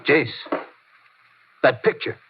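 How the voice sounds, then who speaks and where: A man's voice: slow pace, heavy background noise, natural voice. An American man playing a loyal, plain-spoken Texas Ranger partner in an old radio drama, terse and folksy. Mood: sober. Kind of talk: 1950s radio drama acting. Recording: muffled old radio recording, loud music.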